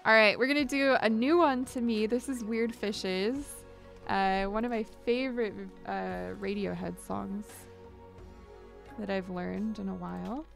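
A woman's voice: mid-range voice, fairly even intonation, a little slow, creaky. A young woman chatting casually to viewers on her live music stream, talking close to a studio microphone in a small home studio. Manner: chatty, upbeat, squeals greetings at viewers. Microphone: large studio mic on boom, headphones.

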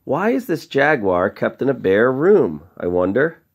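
A man narrates a children's storybook with lively, swooping intonation. His voice has a gravelly creak, and he moves deliberately from phrase to phrase, leaving dramatic pauses. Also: mid-range voice, highs cut off. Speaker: story reader. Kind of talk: storybook read-aloud narration.

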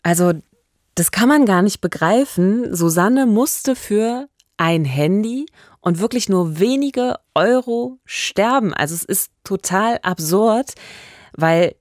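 A woman's voice: medium pitch, animated, slow pace, no room sound. A woman in her thirties co-hosting a true-crime podcast conversationally, close on a studio microphone. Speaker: podcast co-host. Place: small radio studio. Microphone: close studio microphone with pop filter.

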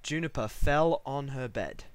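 A man's voice: medium pitch, a little slow, clean tone, dry recording. A man reading a scripted story as its narrator.

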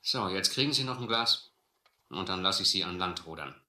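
An actor playing a stern, determined sea captain who narrates and commands in a firm, measured manner in a radio adventure play. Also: male voice, low-pitched, expressive, bright, forceful tone, roomy sound, heavily acted. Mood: firm, terse. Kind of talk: German audio adventure drama.

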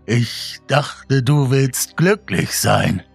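A man voicing a sinister, smiling dealer of happiness, with a sweetly polite, slow and menacing manner in a horror story. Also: mid-range voice, lively intonation, vocal fry, slightly rough, no echo, faint music behind, pitched above the performer's own voice, exaggerated voice. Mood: falsely sweet.

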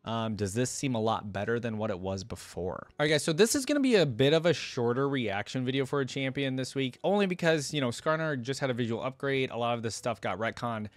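A man's voice: mid-range voice, lively intonation, a little fast, few pauses, dry recording. A young adult man hosting a reaction video, reading aloud and commenting casually in an informal, think-aloud way into a close desk microphone. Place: indoor room at a streaming setup.

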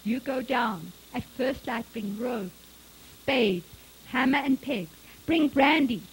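A woman's voice: a little high, slow pace, vocal fry, breathy edge, heavily acted, poor audio. A woman voicing an earnest, practical grown son in a story reading, urgent and cautious.

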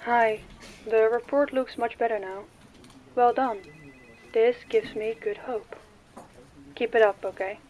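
A woman playing a stern, menacing female boss in an audio drama, speaking in a commanding, deliberate way. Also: on the high side, steady tone, highs cut off, natural voice. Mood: controlled, firm. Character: stern, menacing female crime boss posing as business owner.

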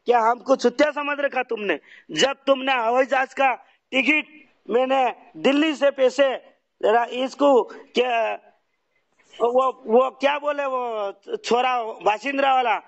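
A man's voice: very high voice, creaky, a bit bright. A man ranting in an aggrieved, repetitive way during a heated phone conversation. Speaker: phone call participant. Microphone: telephone. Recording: dull lo-fi phone call recording.

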